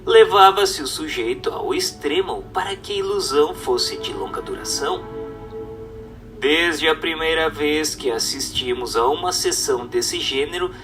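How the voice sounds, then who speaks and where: A man narrates a formal text in a slightly high voice. His delivery is measured and didactic, like a careful book reading. He sounds a little distant from the microphone, with music playing underneath. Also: expressive, a bit bright.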